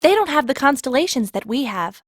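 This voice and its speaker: Earnest young girl mage, high voice that jumps around, blunt, storybook. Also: very animated, brisk, no room sound, studio quality. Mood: eager.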